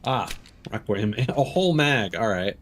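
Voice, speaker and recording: A man's voice: fairly low pitch, expressive, unhurried, a little hiss or hum. A man streaming a video game with casual, reactive commentary, thinking aloud and swearing freely. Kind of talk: casual live gameplay commentary.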